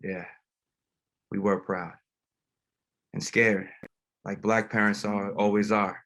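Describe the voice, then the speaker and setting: Low-voiced, reflective pastor played by a man. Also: flat delivery, a bit bright. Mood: grieving, subdued. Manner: reflective, plain-spoken, halting. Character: grieving, gentle, reflective male pastor, widowed father. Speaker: actor in a table read. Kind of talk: dramatic screenplay table read.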